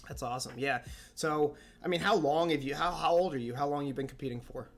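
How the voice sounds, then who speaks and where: A man's voice: on the high side, fast talker, soft background music. A man interviewing a player for an esports team, upbeat and rambling, often saying how awesome things are. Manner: upbeat, repeats "that's awesome", rambling questions. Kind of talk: casual interview.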